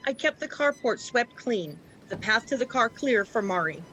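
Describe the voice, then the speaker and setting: A woman's voice: on the high side, expressive, normal speed, fairly bright tone, soft background music. A woman playing an anxious, stubborn, distrustful mother in a scripted radio play. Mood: determined, sad. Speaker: actor in a college radio play. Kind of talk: scripted radio drama acting.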